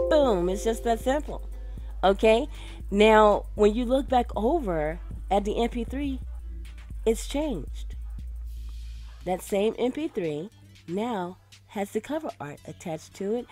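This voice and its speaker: A woman giving an informal, instructive software tutorial as a radio DJ, talking directly to her listeners. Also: medium pitch. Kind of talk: casual screen-recorded tutorial. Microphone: voice-over for a screen recording.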